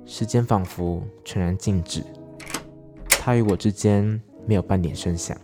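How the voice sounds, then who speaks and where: A man's voice: low voice, a little slow, over music. A man reading a personal essay as scripted literary narration.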